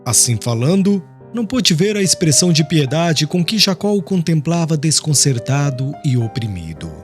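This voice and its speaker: A man narrating a literary audiobook in a solemn, expressive, scripted manner. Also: a little high, moderate pace. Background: music.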